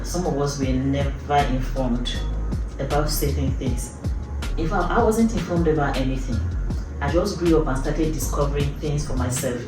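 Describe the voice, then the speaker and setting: Very low-voiced woman in her fifties, echoey. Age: early 50s. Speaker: YouTube host. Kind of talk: personal vlog talk to camera. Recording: echoey room, distant mic, loud music.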